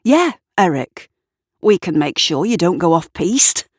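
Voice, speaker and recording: A woman's voice: on the low side, sing-song, a little slow, slightly rough, dry recording, studio quality, full character voice. Woman voicing an eager, boyish younger man. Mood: light, reassuring. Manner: earnest, coaxing. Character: eager younger man, boyishly pleading, lightly joking friend.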